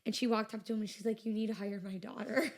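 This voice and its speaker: A woman's voice: mid-range voice, fairly even intonation, fast talker, a little mellow. A woman in her twenties, a business owner, chatting casually as a podcast guest and filling her talk with "like" and "you know". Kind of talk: casual interview chat.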